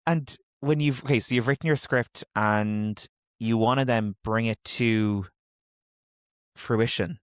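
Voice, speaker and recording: A man's voice: mid-range voice, steady tone, slow, smooth, no echo, clean studio sound. A man hosting a casual, conversational interview. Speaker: interviewer, podcast host.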